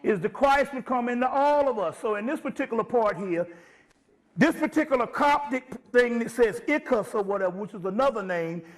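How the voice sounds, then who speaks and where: A man's voice: very high-pitched, expressive, brisk, rough recording. A man giving an informal spiritual lecture in an emphatic, conversational way, often checking that his listeners follow.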